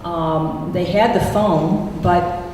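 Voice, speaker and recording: A woman speaks as a poet at a public reading, her voice fairly low and mellow. The sound comes from a distant mic in a very reverberant hall. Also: fairly even intonation, slow. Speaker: poet reading from own book and taking questions. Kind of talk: poetry reading and audience question-and-answer. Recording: echoey hall, distant mic, faint noise.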